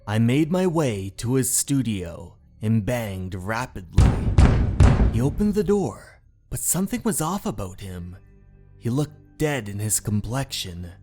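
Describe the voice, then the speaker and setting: A man's voice: fairly low pitch, big pitch swings, dark tone, soft background music. A man playing an obsessed, unhinged painter in a horror story, narrating in a theatrical, brooding manner. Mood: obsessive, uneasy. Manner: slow, theatrical, brooding, with anguished outbursts. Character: obsessed painter, unhinged, infatuated, confessing a murder. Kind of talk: dramatic first-person horror story narration.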